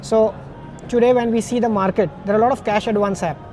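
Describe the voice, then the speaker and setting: A man's voice: sits high, fairly even intonation, fast, a little mellow, faint music behind. A man interviewing a guest conversationally, affirming and recapping points.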